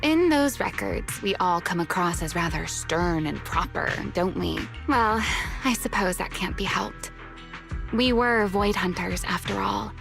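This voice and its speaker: An actress playing a serene, teasing legendary huntress in a video game, speaking politely and playfully over game music. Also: female voice, mid-range voice, expressive, measured pace, vocal fry. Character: serene, teasing, century-old legendary woman hunter. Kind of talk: video game story dialogue.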